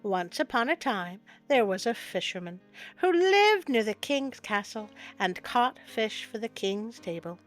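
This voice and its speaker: A woman's voice: fairly high pitch, animated, unhurried. A woman hosting a story show, reading a folk tale aloud with playful, wry asides. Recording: music bed under the voice. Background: music.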